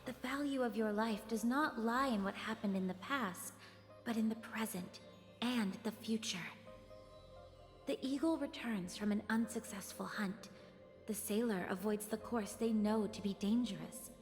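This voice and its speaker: A woman playing a solemn, motherly, mysterious messenger in a game, speaking in measured, cryptic, formal phrases. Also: a little high, natural voice. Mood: gentle, cryptic. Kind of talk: scripted game dialogue. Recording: long reverb, music behind. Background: music.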